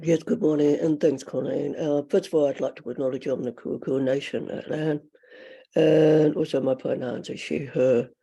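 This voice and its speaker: A woman answering as a panellist over a video call, hoarse and croaky after an illness, speaking earnestly and hesitantly. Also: deep voice, steady tone, moderate pace, dark tone, dull audio.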